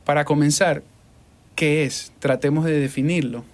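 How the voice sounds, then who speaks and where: Relaxed coastal Ecuadorian male host. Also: on the high side, normal speed. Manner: relaxed, conversational questioning. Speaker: podcast host and voice-over artist. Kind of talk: casual interview conversation.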